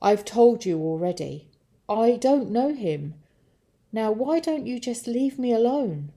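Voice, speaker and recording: A woman's voice: a little high, big pitch swings, takes it slowly, warm tone, voice pushed up, full character voice. A woman voicing a frightened, defensive man who protests in agitation, in a children's story. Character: panicking, defensive man denying everything, frightened.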